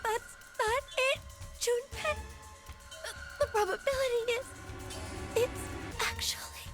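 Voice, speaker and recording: A woman playing an anxious, gentle young woman trapped in a deadly video game, speaking with pleading urgency. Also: very high-pitched, fairly even intonation, slow pace. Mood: distressed. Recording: music behind the voice.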